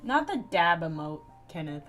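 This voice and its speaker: A woman's voice: fairly low pitch, very animated, moderate pace, fairly bright tone, soft background music. A woman livestreaming a game, chatting casually and reacting to her chat. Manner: laid-back, reacting to chat. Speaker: game streamer. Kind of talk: casual live commentary while playing.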